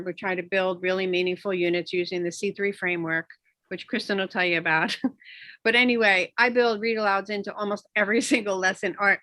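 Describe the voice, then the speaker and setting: Bright-voiced woman, dry close sound. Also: medium pitch, dry recording. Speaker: elementary social studies curriculum specialist. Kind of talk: casual contribution to an online discussion.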